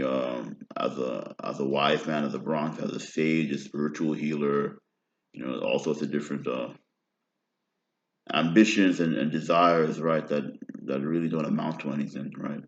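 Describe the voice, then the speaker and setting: A man in his thirties with an American accent, talking in a meandering personal monologue and often checking in with "right?". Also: extremely low pitch. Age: 30s. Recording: roomy, dull lo-fi recording.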